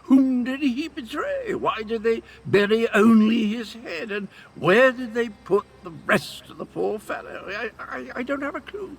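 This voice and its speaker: An actor voicing an eccentric, befuddled and cheerful old knight in a video game, with a British accent and a theatrical, whimsical, rambling manner. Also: male voice, very high voice, expressive, unhurried, few pauses, slightly rough, full character voice. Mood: puzzled. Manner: theatrical, whimsical, rambling with archaic genteel slang. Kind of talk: video game character dialogue.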